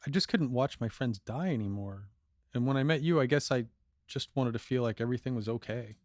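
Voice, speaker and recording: A man's voice: medium pitch, fairly quick, no echo, lightly acted. Audio drama role, male actor: guilt-prone male fugitive, defensive and hesitant, medium register, dry recording. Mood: anxious, guilty.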